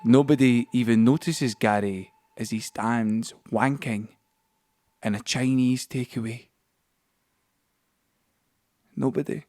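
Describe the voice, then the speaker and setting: An actor playing a troubled, lonely young man, narrating an audio play in deliberate, halting phrases. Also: medium pitch, fairly even intonation, darkish tone, no echo, close to an everyday voice. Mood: bleak. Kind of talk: dramatic audio play monologue.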